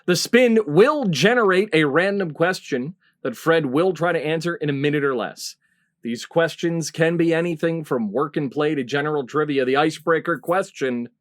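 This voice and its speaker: A man's voice: on the high side, normal speed. A middle-aged man hosting a comedy panel game in an announcer-like way, on a video call. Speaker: game show host.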